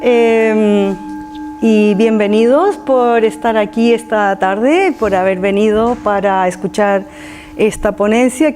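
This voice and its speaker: A woman who is not a native speaker, giving an informal public talk and often halting to search for words. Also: a little high, darkish tone. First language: not Spanish.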